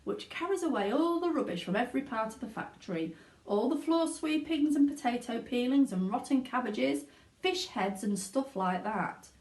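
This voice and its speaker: A woman reading a children's storybook, voicing a calm, unbothered, eccentric male factory owner in a brisk, matter-of-fact manner. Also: on the high side, voice pushed up. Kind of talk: children's storybook read aloud. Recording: echoey room.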